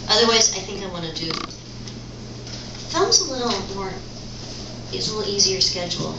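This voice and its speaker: A woman's voice: mid-range voice, lively intonation, normal speed. A middle-aged actress chatting in a rambling, storytelling way, often restarting her sentences. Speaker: actress guest. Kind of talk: casual conversation, telling stories. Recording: echoey room from far off with noise.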